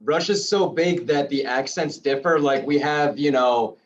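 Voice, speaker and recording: A man's voice: fairly high pitch, measured pace, slight background noise. Man explaining over dull lo-fi audio. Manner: explanatory, chatty. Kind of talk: casual group chat.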